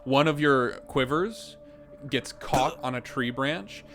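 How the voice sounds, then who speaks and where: A man narrating as game master on a tabletop podcast, describing scenes in a halting, conversational way and calling for rolls. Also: medium pitch, a bit bright, faint music behind. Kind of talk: improvised game narration and rules talk.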